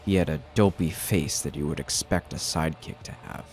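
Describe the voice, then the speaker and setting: A man's voice: rumbling low, brisk, a little mellow, soft background music. A man narrating a scripted horror story as the narrator of an audio drama.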